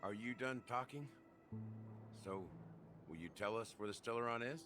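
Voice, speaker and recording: A man's voice: mid-range voice, moderate pace, music in the background, natural voice. A man playing a shrewd, self-deprecating, coolly confident rogue in a video game, talking in a wry, teasing way. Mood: cool, pressing. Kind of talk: scripted video game voice acting.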